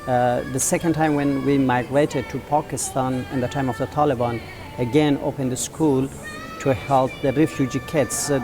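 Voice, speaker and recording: A man in his forties with an Afghan accent, a teacher and school founder, answering interview questions in an earnest, halting way with many drawn-out fillers. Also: mid-range voice. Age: 40s.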